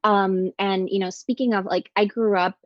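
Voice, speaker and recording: A woman's voice: mid-range voice, steady tone, moderate pace, no room sound. Chatty woman author on a dull call. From Ecuador. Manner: chatty, self-interrupting, with many fillers and restarts. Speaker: author panelist. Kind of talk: casual panel discussion.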